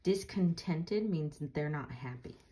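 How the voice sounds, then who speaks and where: A woman with a fairly low voice reads a picture book aloud. She explains things casually and simply, as if for children. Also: lively intonation, a little slow, mellow. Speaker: read-aloud storyteller. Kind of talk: storybook reading with casual explanations.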